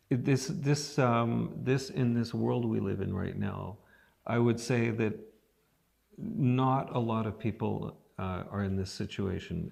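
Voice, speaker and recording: A man interviewing an artist, thoughtful and encouraging, with many pauses and ums. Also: on the low side, vocal fry, darkish tone. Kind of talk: interview, conversational.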